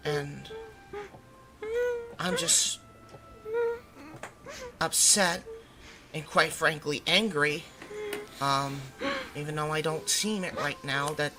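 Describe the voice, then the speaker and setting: A woman playing a protective mother in an audio roleplay, gently and earnestly reassuring her child in a halting way. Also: sits low. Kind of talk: audio roleplay drama acting.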